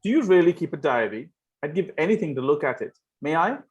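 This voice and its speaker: A man reading the part of a smitten, ardent young Victorian gentleman in an amateur table read of a stage comedy, wooing earnestly. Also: a little high, very animated, quick pace. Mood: eager, ardent.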